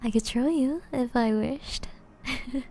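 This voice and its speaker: A woman playing a flirtatious, seductive female vampire in an intimate ASMR roleplay, breathy and often whispering, with slow teasing endearments. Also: on the high side, darkish tone. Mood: tender, teasing.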